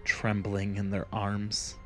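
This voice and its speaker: A man narrating a scripted fantasy story in a solemn, measured, dramatic style. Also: lowish voice, completely flat delivery, soft background music.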